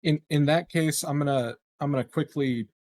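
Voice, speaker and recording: A man's voice: fairly high pitch, moderate pace, darkish tone. A man playing a tabletop roleplaying game, casually and tentatively feeling his way through questions and actions. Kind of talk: casual improvised game discussion.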